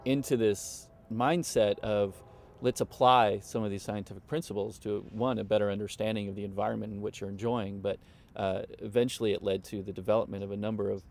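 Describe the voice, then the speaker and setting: A middle-aged man talking as a professor in a conversational review, earnest and halting, often repeating words as he forms his thought. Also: on the low side, gravelly, darkish tone, slight background noise. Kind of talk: conversational interview, reflective review.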